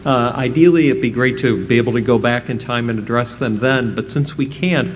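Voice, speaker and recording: Polite, measured panel moderator, a man. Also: mid-range voice, slight background noise. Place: public event hall.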